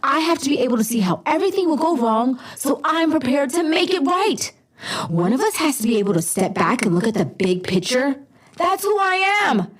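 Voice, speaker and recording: Woman playing a high-voiced, exasperated young hero. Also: big pitch swings, brisk. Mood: frustrated, weary. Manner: earnest, exasperated. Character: tense, self-burdened young female hero, weary perfectionist. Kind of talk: audio drama acting.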